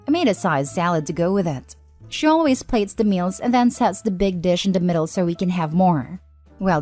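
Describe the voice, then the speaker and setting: A woman reading online stories aloud as a scripted narration, with measured, neatly enunciated delivery. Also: on the low side, a little mellow. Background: background music.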